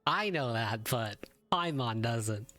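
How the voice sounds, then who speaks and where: A man's voice: mid-range voice, expressive, slow pace, sharp tone. A man giving casual live reaction commentary as a gaming streamer. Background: game music.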